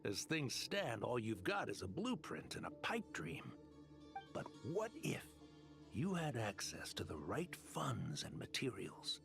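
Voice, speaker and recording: A slick, ruthless desert mercenary sells his schemes with swinging, lively intonation. He is cocky, slangy and money-hungry, a video game villain talking over music. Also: fairly low pitch, a little slow, creaky, full character voice. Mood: slick, persuasive. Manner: persuasive, cocky, casual slangy drawl of a salesman. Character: smooth-talking, ruthless desert mercenary arms dealer, greedy man.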